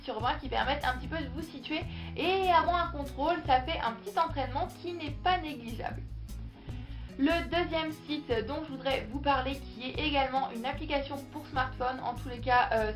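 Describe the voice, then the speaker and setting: A young woman vlogger giving chatty, upbeat recommendations to her viewers. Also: high-pitched. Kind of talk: casual talk to camera. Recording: echoey room with music behind.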